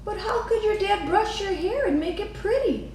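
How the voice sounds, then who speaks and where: Woman as little storybook girl, earnest, eager, high swooping pitch, distant echoey recording. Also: expressive, moderate pace, a little mellow. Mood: curious. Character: earnest, wistful little girl who loves her mother. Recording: echoey room, distant mic, faint noise.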